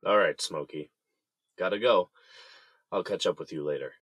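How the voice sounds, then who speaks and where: A man's voice: sits low, normal speed, a bit bright, slightly rough, no echo, clean studio sound. An actor playing a troubled, subdued businessman in jail in an audio drama, speaking in a hesitant, downcast manner. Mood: polite. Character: troubled, subdued jailed businessman, adult man.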